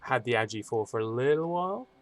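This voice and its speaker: A man's voice: mid-range voice, expressive, fast talker. A man co-hosting a casual stream about a fantasy sports board game league, chatty and jokey with many restarts. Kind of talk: casual chat commentary.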